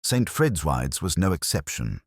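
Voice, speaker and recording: Very deep, brooding detective narrator played by a man. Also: big pitch swings, slightly rough, no echo, studio quality, exaggerated voice. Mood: weary, reflective. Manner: measured, literary, wry and brooding. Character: weary, sharp-minded, melancholy older male police detective. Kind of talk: scripted first-person detective narration.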